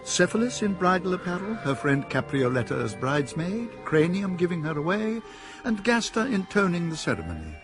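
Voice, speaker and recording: A man's voice: high-pitched, very animated, brisk, over music. Wry male radio drama narrator.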